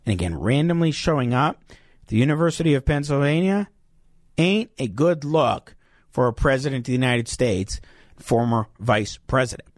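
A man's voice: medium pitch, animated, moderate pace, no room sound, studio quality. A man hosting a local talk radio show, speaking in an emphatic, opinionated and conversational way. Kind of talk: talk radio commentary and interview. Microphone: studio microphone.

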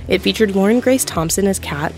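A woman's voice: mid-range voice, fairly quick, a little mellow. A woman announcer reading scripted podcast credits. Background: music.

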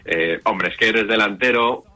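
A man with a fairly low, bright-edged voice hosts a sports radio talk show. He leads the chat with wry, rambling anecdotes. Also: normal speed.

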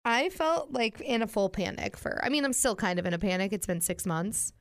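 A woman in her thirties co-hosting a casual chat, expressive and given to dramatic complaining. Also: mid-range voice, brisk, dry recording, studio quality. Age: 30s.

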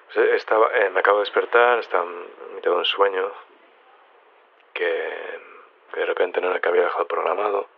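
A man's voice: low-pitched, steady tone, gravelly, slightly rough, exaggerated voice. A man playing a groggy, just-woken scientist in a fiction podcast, rambling hesitantly through a voice message about waking in a borrowed body. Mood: groggy, confused. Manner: hesitant, halting, muttering. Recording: dull lo-fi voice message.

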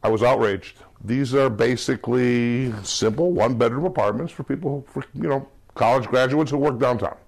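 A man's voice: mid-range voice, normal speed, vocal fry, hardly any pauses, a little mellow. A man interviewed for a news report, speaking about a hardship with indignant, wry frustration. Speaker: interviewed property owner.

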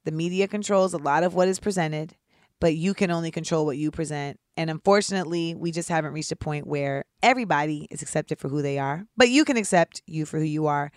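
A woman in her thirties with a deep voice, hosting a casual commentary show in a candid, sarcastic way and saying "like" often. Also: fairly quick, dry recording, clean studio sound. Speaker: host.

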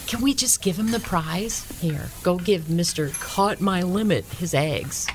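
A woman plays a matter-of-fact diner cook in a radio play. Her voice is a little low and dry, with clear sizzling kitchen noise behind it. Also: a little slow, natural voice. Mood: dry, brisk.

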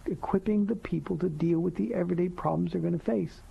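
Soft-spoken gravelly pastor on radio. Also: male voice, sits high. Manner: gentle, earnest and reflective, with slow emphatic phrases. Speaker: radio pastor and call-in host. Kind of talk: answering listener questions on live radio. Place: radio studio. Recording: dull lo-fi radio broadcast.

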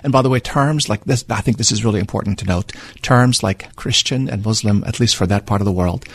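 Lebanese-born man, slight Arabic accent, low gravelly voice, husky, dry close sound. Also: a little fast, no room sound. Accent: light Lebanese Arabic. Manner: earnest, thoughtful, with many fillers and restarts. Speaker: radio guest. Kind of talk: conversational interview.

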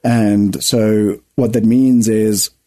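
A man's voice: lowish voice, flat delivery, drawn-out words, warm tone. Earnest south african man, afrikaans native. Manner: earnest, repeats words as he searches for them. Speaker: guest, rugby player. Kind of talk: casual interview talk.

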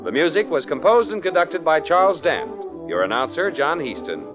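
A male announcer with a smooth, salesy delivery. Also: on the high side, sing-song, normal speed. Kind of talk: radio announcing. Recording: muffled 1940s radio broadcast with music.

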